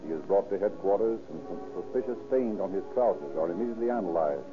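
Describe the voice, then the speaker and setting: A man's voice: low-pitched, expressive, fast, over music. An American man narrating a scripted old radio crime drama. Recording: tinny old radio recording.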